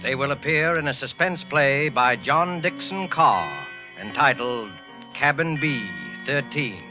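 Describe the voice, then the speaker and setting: Formal vintage radio announcer, music underneath. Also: male voice, medium pitch, animated. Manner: formal, deliberate, theatrical pauses. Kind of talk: 1940s radio announcing, scripted suspense narration. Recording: dull old radio recording.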